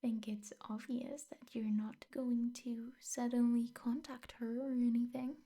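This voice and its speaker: A young woman playing a possessive, sweetly menacing teacher girlfriend in an ASMR roleplay, speaking softly, intimately and often in whispers. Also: on the high side, little pitch movement. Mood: jealous, scornful. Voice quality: soft, breathy, often whispered. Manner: slow, intimate, coaxing with veiled threats.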